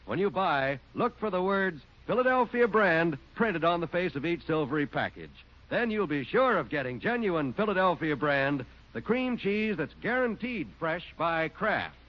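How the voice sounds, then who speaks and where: A man announcing on a 1940s radio show, reading in a smooth, persuasive, enthusiastic manner. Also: a little high, big pitch swings, moderate pace. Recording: dull old radio broadcast.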